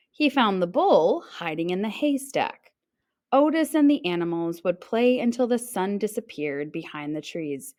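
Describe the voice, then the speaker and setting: A woman reading a children's picture book aloud as a teacher would, expressive in her narration and acting out little sounds. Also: lowish voice.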